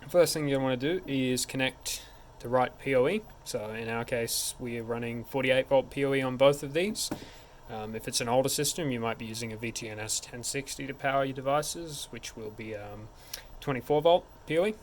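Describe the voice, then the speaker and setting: A man's voice: medium pitch, a little fast, hardly any pauses. A man narrating a hands-on technical tutorial in an informal, step-by-step way. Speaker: tech support instructor. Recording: clear background noise.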